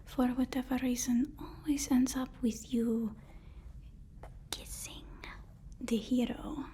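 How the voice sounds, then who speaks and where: A woman whispering an ASMR roleplay as a deferential, flattering personal assistant, often repeating her words softly and hesitantly. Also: sits high, takes it slowly, slight background noise. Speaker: ASMR performer.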